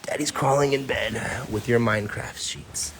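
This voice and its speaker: A man with a husky voice, a father reading a storybook aloud to his child with casual asides. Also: mid-range voice, fairly even intonation, normal speed, fairly bright tone, slight background noise. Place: child's bedroom, indoors.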